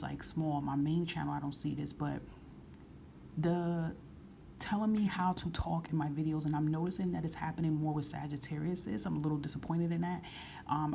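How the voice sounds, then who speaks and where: A soft-spoken woman reading tarot cards to camera in a casual, frank way, often repeating phrases. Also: low voice, vocal fry, slight background noise. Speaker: tarot reader.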